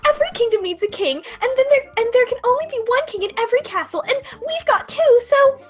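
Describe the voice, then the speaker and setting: Woman putting on a high, indignant cartoon royal. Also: expressive, fast. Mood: indignant pleading. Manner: insistent, theatrical pleading.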